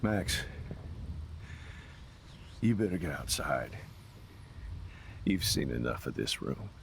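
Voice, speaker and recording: An actor playing a gruff middle-aged ex-soldier stepfather, broken with grief, in a video game. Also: rumbling low, sing-song, slight background noise, full character voice. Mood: anguished. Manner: anguished, slow and broken.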